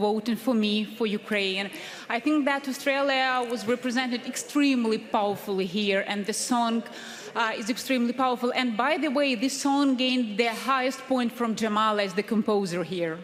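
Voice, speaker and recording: A woman interpreting answers into English at a press conference in a steady, measured way. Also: a little high. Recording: reverberant press hall.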